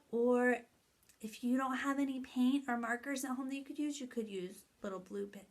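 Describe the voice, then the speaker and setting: Higher-voiced woman teaching young children. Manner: addresses young children in simple, step-by-step phrases with emphatic key words. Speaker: early childhood teacher hosting story time. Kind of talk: children's lesson, read-aloud and activity instructions.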